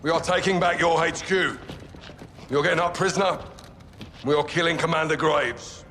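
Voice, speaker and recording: An actor playing a determined Mexican special forces leader in a military game, emphatic, with a Mexican accent. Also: male voice, fairly high pitch, steady tone, moderate pace, pushed and bright, a little hiss or hum. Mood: rallying, determined. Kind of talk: dramatic game acting.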